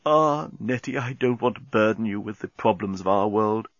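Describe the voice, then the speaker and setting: A man's voice: lowish voice, moderate pace, no room sound, highs cut off, natural voice. A man voicing a gallant, proud alien space captain in an audiobook, courtly, effusive and wistful. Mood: sad, wistful. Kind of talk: audiobook character voice.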